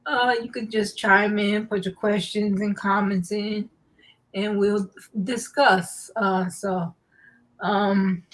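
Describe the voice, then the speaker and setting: A woman hosting a live online talk show, slow and halting with many fillers, her nose stuffy. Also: medium pitch, fairly even intonation, rough recording. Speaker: host.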